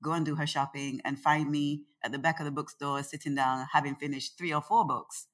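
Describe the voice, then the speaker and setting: A woman with a very low voice talks as a guest in a relaxed interview. She is expressive and chatty. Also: fairly quick. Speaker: guest, organization founder. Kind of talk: casual conversational interview. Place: in Nevis.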